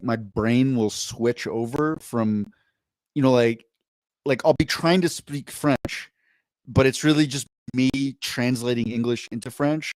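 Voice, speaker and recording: A man's voice: mid-range voice, a little mellow, no echo, rough recording. A man co-hosting a podcast, chatting casually and reading posts aloud, rambling and correcting himself as he goes. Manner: rambling and self-correcting, upbeat. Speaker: podcast co-host.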